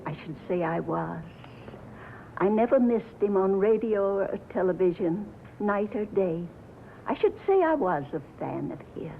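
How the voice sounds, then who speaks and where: Earnest older lady fan, sentimental, emphatic, medium pitch; old movie track, narrow and tinny. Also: lively intonation, unhurried, vocal fry. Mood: mournful, devoted. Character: devoted, sentimental older female radio fan. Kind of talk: 1950s film acting, street interview. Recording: tinny, noisy 1950s film soundtrack.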